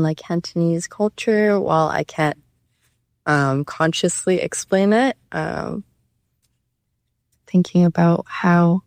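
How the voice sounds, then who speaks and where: A woman's voice: low voice, creaky, dark tone, no room sound, clean studio sound. A Canadian woman, an artist being interviewed, speaking in a hesitant, thoughtful way about their own work. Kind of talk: reflective conversation about their own art.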